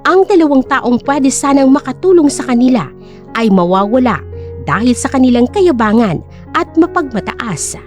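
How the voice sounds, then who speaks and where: A woman's voice: high-pitched, big pitch swings, normal speed, a little mellow, faint music behind. A woman narrating a scripted dramatic story.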